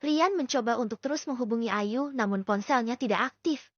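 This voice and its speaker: A woman's voice: a little high, brisk, dry recording. A woman reading a scripted story as an audiobook narrator. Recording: dull lo-fi dry recording.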